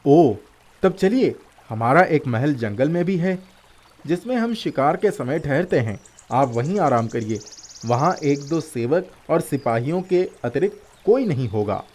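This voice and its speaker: A man's voice: fairly high pitch, lively intonation, measured pace, darkish tone. A man voicing a brave, courteous young prince in a fairy tale, speaking formally and earnestly. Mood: gallant, courteous. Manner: formal, gallant, earnest delivery.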